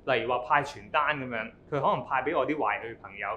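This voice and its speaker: A man's voice: mid-range voice, quick pace, dull audio, a little hiss or hum. A man in his thirties from Hong Kong, answering interview questions confidently and with jokes. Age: 30s. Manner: joking, confident.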